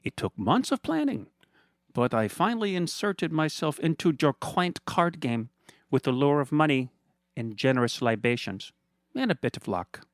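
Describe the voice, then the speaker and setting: A man's voice: a little high, sing-song, normal speed, no echo, clean studio sound, pitched above the performer's own voice. A man voicing a smug, villainous male hand model who gloats in a theatrical, condescending way. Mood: gloating. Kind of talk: comedic storytelling voice.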